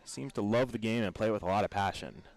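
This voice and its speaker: A man with an American accent calling baseball play-by-play for radio in a measured, descriptive storytelling way. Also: on the low side, creaky, a little mellow, rough recording. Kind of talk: radio sports commentary.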